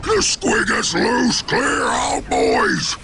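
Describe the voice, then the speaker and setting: A brutish ork warboss from a video game with a rough Cockney accent. The growl is gravelly and pitched high, swinging wildly as he bellows crude boasts and orders. Noise sits behind the voice. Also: huge pitch swings, drawn-out words, sharp tone, exaggerated voice. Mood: barking orders. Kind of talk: video game character acting.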